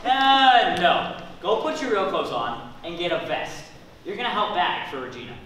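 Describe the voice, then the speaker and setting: A man's voice: high voice, animated, moderate pace, a bit bright. A young man playing a curt, impatient, officious store manager in an amateur stage comedy, clipped and dismissive. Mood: bossy. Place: indoor theatre stage. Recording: echoey stage from far off, faint noise.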